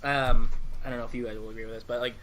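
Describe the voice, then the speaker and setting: A man's voice: mid-range voice, flat delivery, rapid-fire, fairly bright tone, poor audio. A man co-hosting a podcast, chatting casually and enthusiastically about video games with friends. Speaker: podcast co-host.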